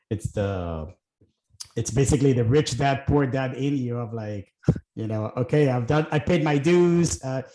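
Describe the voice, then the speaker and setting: Relaxed middle-aged man from Puerto Rico. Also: medium pitch, reverberant, low-quality recording. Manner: relaxed, thinking aloud with many fillers and restarts. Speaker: guest, real estate investor. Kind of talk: casual interview chat.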